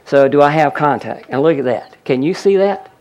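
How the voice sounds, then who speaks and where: A man's voice: fairly high pitch, animated, moderate pace, darkish tone. A man presenting a healing seminar, explaining a live demonstration to an audience and repeatedly pointing things out. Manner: explanatory, audience-addressing, frequent "look at that".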